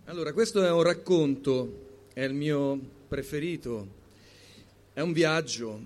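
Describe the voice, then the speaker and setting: A man's voice: on the high side, a little slow, a little mellow. A man performing a spoken-word story as a poetry reader.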